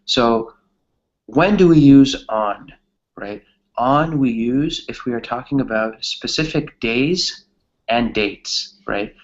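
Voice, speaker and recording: A man's voice: mid-range voice, expressive, takes it slowly, muffled recording. A man teaching a conversational English grammar class, often checking in with a questioning tag as he explains.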